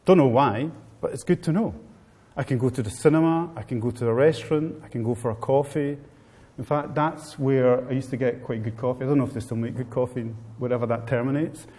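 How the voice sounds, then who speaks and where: Middle-aged italian guy talking to a seminar crowd, dark mellow voice, lots of hall reverb. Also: mid-range voice, brisk, few pauses. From Italy. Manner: informal, wry, addresses the audience directly. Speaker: guest speaker. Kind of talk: live seminar talk. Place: seminar room with live audience.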